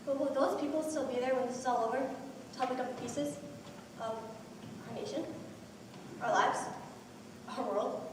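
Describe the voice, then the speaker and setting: A woman's voice: sits high, moderate pace, pauses often, close to an everyday voice. A woman acting an anxious young woman in a school one-act play. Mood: reflective. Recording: echoey hall from far off, faint noise.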